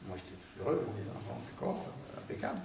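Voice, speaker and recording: Octogenarian veteran from Tunisia, gravelly voice, halting memory-piece delivery, heard from a distance in a reverberant room. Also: male voice, fairly low pitch, sing-song, darkish tone, a little hiss or hum. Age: 80s. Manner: hesitant, many fillers and restarts. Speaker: war veteran giving testimony. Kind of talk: oral history storytelling. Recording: distant, roomy recording with long reverb.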